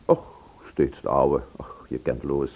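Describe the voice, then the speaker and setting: A man's voice: a bass voice, flat delivery, a little slow, a little mellow. An actor playing a genial, teasing man with a hearty, chatty manner in a Dutch radio play. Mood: easy teasing. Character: genial, teasing man bringing news to friends. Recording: dull old radio play recording.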